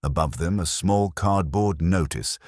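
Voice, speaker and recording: Very deep, dry-sounding male narrator. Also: measured pace, no echo. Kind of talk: scripted literary narration of a mystery story.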